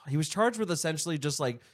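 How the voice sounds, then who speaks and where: A man's voice: a little high, animated, moderate pace, no room sound, clean studio sound. A man co-hosting a casual podcast, rambling and laughing between restarts. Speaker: podcast co-host. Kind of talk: casual chat.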